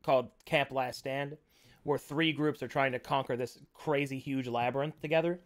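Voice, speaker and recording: A man's voice: medium pitch, fairly even intonation, moderate pace. A man acting as dungeon master, chatting casually with players and walking them through setup. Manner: laid-back, talks players through software setup. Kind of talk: casual chat setting up a game.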